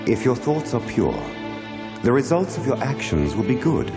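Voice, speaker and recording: A man playing a calm, wise spiritual teacher in an animated religious drama, speaking in a solemn, measured, authoritative way. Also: mid-range voice. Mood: stern, calm. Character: calm, wise enlightened male spiritual teacher, firm and compassionate. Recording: echoey hall reverb, music behind.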